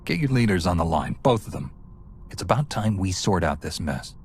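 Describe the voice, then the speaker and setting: An actor playing a level-headed, resolute Jedi commander in a video game, direct and authoritative. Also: male voice, low voice, very animated, normal speed, a little hiss or hum. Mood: firm, direct. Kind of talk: cinematic video game acting.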